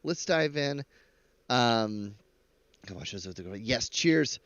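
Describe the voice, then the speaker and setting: A man's voice: mid-range voice, lively intonation, slow pace, fairly bright tone, no room sound, lo-fi sound. A man hosting a casual live stream, giving chatty, rambling, jokey reaction commentary into a close mic.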